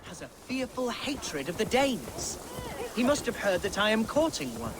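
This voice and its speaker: Pompous young Saxon noble: high, animated, florid, over game score. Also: male voice, unhurried, noisy background. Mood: musing. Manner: pompous, theatrical, florid speech. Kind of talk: video game cutscene acting. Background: orchestral game music.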